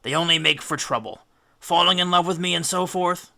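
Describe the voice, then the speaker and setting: A man's voice: sits high, brisk, creaky, pushed and bright, voice pushed up, heavily acted. A man voicing a weary older Greek hero, courteous but proud, with formal, declamatory speech in a storybook. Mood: indignant, proud.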